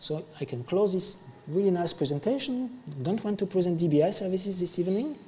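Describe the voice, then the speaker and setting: French-speaking man, mellow voice in a hall. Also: sits high, expressive, fairly quick, a little hiss or hum. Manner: casual, self-deprecating, restarts often. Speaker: meetup speaker. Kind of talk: improvised tech talk. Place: meetup venue, large hall. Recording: live stream with long hall reverb.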